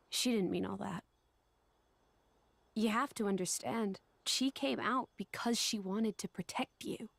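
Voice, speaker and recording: A woman playing a gentle, solemn young woman who is an ancient living weapon, speaking in a measured, earnest way in a video game cutscene. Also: mid-range voice, vocal fry, no echo. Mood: apologetic, gentle.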